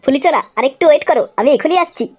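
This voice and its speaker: A woman's voice: sits high, lively intonation, racing, darkish tone. A woman voicing a cheeky, playful, scheming young son in a comedy cartoon. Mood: eager. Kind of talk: comic cartoon dialogue.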